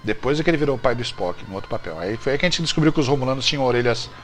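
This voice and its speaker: A man's voice: medium pitch, animated, racing. A Brazilian man hosting a casual, colloquial chat show, repeating himself for emphasis. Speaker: host. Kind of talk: casual informal chat about old TV series.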